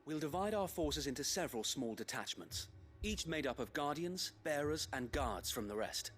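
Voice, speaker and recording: An actor playing an earnest, resolute knight commander, speaking formally and precisely in a dramatic fantasy game. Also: male voice, a little high, animated, moderate pace, fairly bright tone, slight background noise. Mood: resolute, measured. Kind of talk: dramatic video game acting.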